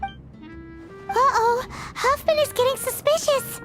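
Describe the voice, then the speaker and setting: Squeaky, very high little fairy. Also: female voice, slow pace, music in the background. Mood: panicked. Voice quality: squeaky, childlike. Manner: excitable, refers to herself in third person. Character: small childlike floating fairy companion, excitable female. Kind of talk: video game voice acting.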